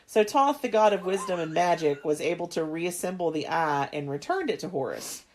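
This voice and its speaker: A woman's voice: lowish voice, lively intonation, normal speed, darkish tone. A woman in her thirties chatting casually as a podcast co-host, rambling and restarting often. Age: 30s. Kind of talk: casual chat.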